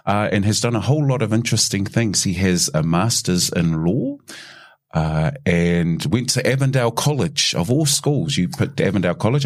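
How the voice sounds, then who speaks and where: A man hosting a casual podcast interview, teasing his guest in a friendly way and often restarting his questions. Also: lowish voice. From New Zealand. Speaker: podcast host.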